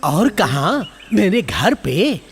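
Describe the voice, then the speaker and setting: A man's voice: sits high, sing-song, drawn-out words, soft background music, heavily acted. A man voicing a scheming, henpecked male crocodile in a children's cartoon story, with a coaxing, theatrical manner.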